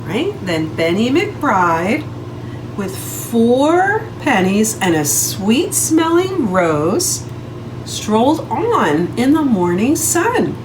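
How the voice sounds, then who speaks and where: Woman, children's story-time reader. High-pitched, very lively intonation, unhurried, interactive. Clearly noisy recording. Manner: sing-song, prompting listeners with questions and counting. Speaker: children's librarian reading a story aloud. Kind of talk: interactive picture-book read-aloud for young children.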